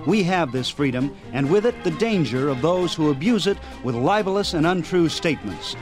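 A man with an American accent hosting a radio show, reading a scripted sign-off in a formal, earnest manner. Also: fairly high pitch. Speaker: radio host. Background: music.

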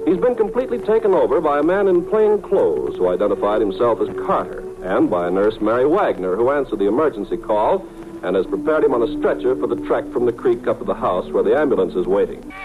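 A man's voice: mid-range voice, expressive, fairly quick. A man working as an announcer, reading scripted narration for an old-time radio serial. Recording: narrow-band old radio recording with music. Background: music.

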